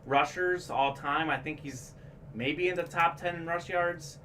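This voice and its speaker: A man's voice: a little high, a little slow, sharp tone, recorded from a distance, a little hiss or hum. A young man born in Bulgaria, co-hosting a sports memorabilia stream in a casual, chatty way, full of sports facts. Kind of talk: casual chat.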